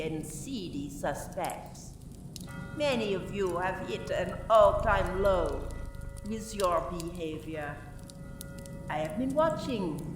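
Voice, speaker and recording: A woman playing a stern, accusing French police inspector in a radio play, questioning suspects formally and deliberately. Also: mid-range voice, distant mic. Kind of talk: community radio play acting. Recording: roomy, off-mic, music behind.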